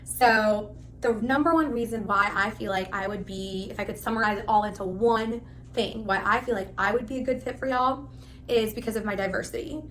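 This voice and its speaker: A woman in her thirties, originally from Pakistan, giving a spontaneous, rambling, animated self-introduction. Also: medium pitch, brisk, slight background noise, low-quality recording. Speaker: live stream host applicant.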